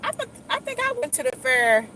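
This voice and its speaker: A woman's voice: high voice, very animated, brisk, sharp tone, low-quality recording. A middle-aged woman with a Dallas accent, chatting in a rambling, laid-back way with lots of fillers and restarts. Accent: Dallas, Texas. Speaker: vlogger. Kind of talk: casual chat while driving. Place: car. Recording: faint vehicle noise.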